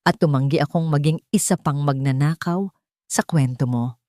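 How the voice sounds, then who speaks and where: A Filipino woman playing an earnest, devoted husband in a narrated story, speaking in a measured way. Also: low-pitched, darkish tone, dry recording, studio quality, natural voice. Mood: earnest, hopeful. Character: tender, earnest husband and new father, formal, devoted.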